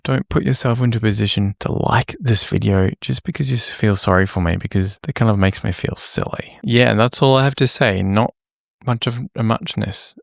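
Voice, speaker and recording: A man with a slightly croaky voice, talking casually to camera in a rambling, self-deprecating, apologetic way. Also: mid-range voice, dry recording, studio quality. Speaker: music producer and vlogger. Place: small home room lit by a lamp. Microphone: camera-facing setup at a desk.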